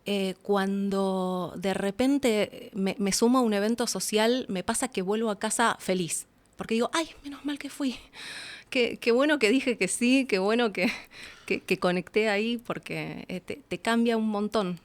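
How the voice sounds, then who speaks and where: Young Argentine woman, mid-range voice, for a relaxed interview guest who talks expressively and informally about herself. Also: medium pitch, measured pace. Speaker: interview guest, voice actress and announcer. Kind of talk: casual conversational interview.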